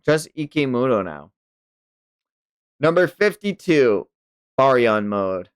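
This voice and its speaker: A man's voice: medium pitch, sing-song, slow, clear, resonant tone, dry recording, studio quality. A man hosting a manga read-along, mixing narration with enthusiastic, casual fan commentary. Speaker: host reading a manga aloud on livestream.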